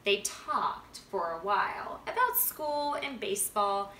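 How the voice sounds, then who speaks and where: A woman in her thirties speaks as a storytime reader, with a bright voice. She is in a live-sounding living room, recorded a few feet from the camera with faint noise. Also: mid-range voice, lively intonation, takes it slowly. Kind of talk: prayer and storybook reading aloud. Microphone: camera a few feet away. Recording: roomy home recording, faint background noise.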